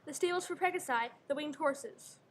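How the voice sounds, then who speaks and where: A woman's voice: normal speed, natural voice. A woman playing a young camp guide in readers theater, explaining things matter-of-factly. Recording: faint background noise, low rumble.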